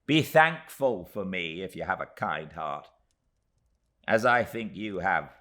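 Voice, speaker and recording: A man's voice: low voice, very animated, takes it slowly, bright, forceful tone, full character voice. A man reading a storybook and voicing a tiny, emphatic, indignant middle-aged woman in distress. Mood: plaintive, earnest.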